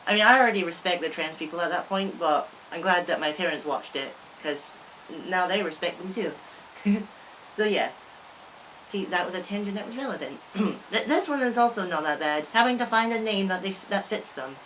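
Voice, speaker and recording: A woman's voice: lowish voice, normal speed, a bit bright, a little hiss or hum, poor audio. Young woman vlogging, rambling and giggly. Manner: rambling, many likes and restarts, giggles often. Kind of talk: casual talk to camera.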